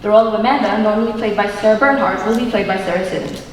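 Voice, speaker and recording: Brisk theatrical young woman as stage manager, echoing hall. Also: medium pitch, lightly acted. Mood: formal announcement. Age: young adult. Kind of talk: stage play acting. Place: high school auditorium stage. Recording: reverberant hall from far off, faint noise.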